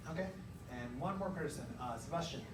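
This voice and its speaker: A man teaching a class through an interactive read-aloud, pausing to question and explain as he goes. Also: fairly high pitch. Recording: echoey room, far from mic, loud noise.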